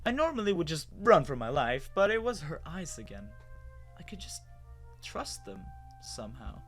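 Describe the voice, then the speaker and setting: A man's voice: a little high, big pitch swings, moderate pace, fairly bright tone, soft background music, full character voice. A man playing a bewildered human with lost memory, narrating his own story in a dramatic, hesitant, wondering way. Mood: tentative.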